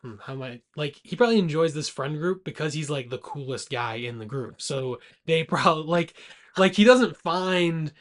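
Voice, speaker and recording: A man's voice: fairly high pitch, expressive, normal speed. A man co-hosting a casual movie podcast, speaking in a blunt, teasing and informal way. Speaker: podcast co-host. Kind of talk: rowdy casual movie chat.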